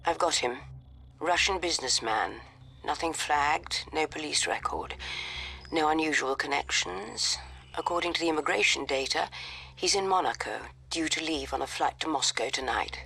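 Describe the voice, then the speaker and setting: Game spy handler: efficient, businesslike, slightly high pitch, forward bright tone, clipped facts. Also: male voice, normal speed. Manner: crisp, factual, businesslike. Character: efficient, unflappable male intelligence aide briefing an agent. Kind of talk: video game cutscene acting. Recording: music behind the dialogue.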